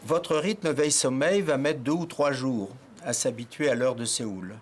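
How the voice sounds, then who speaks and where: A man's voice: mid-range voice, normal speed, soft background music. A man interviewed as an expert, speaking hesitantly with fillers. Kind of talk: documentary interview.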